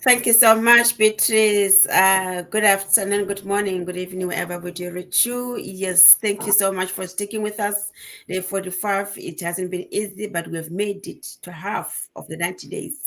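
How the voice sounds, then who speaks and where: A woman's voice: mid-range voice, steady tone, normal speed, fairly bright tone. A woman from Botswana introducing herself as a guest on a live video call, speaking earnestly. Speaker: panel guest.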